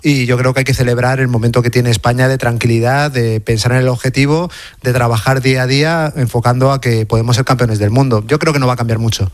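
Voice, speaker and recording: Fast-talking male radio commentator. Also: mid-range voice, fairly even intonation, no echo, clean studio sound. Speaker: football commentator. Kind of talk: radio sports chat.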